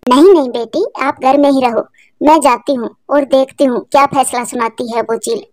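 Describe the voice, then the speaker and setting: A woman's voice: sits high, fairly even intonation, normal speed, darkish tone, no echo, clean studio sound, lightly acted. A woman voicing an anxious, emotional mother sparrow in a cartoon, devoted and pleading.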